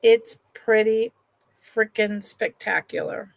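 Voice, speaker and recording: Slow, relaxed woman hosting a live sale. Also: fairly high pitch, creaky, dry recording, poor audio. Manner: chatty, unhurried, trails off and restarts sentences; affectionate to viewers, calls them honey and sweetheart. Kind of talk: slow, relaxed live-stream sales chat.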